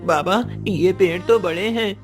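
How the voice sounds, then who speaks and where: A man's voice: high voice, measured pace, over music. A man voicing a frightened, freezing young boy in a cartoon story, anxious and pleading. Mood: anxious, scared.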